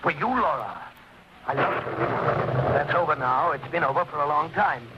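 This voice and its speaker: An actor playing a desperate, scheming murderer in intense, melodramatic 1940s radio style. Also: male voice, fairly high pitch, expressive, normal speed, lightly acted. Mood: coldly confessing. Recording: noisy, dull 1940s radio recording.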